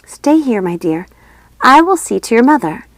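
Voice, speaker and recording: Fairy woman, acted by a narrator, sweet, coaxing, protective, raised pitch, storybook tone. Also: very animated, normal speed, no echo. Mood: concerned, kind.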